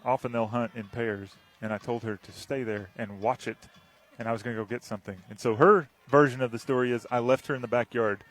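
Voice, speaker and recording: A man's voice: mid-range voice, fairly even intonation, brisk. A man with an American accent giving casual color commentary at a live football game in a wry, conversational, storytelling way.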